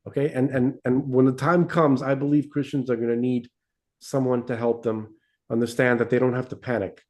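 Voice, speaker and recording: A man in casual discussion, mid-pitched with a mellow, dark tone. He rambles and restarts often, checking in with "right?" and "okay?" to keep the listener with him. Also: little pitch movement, fairly quick. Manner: rambling, many restarts, seeks agreement with tag questions. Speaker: podcast co-host.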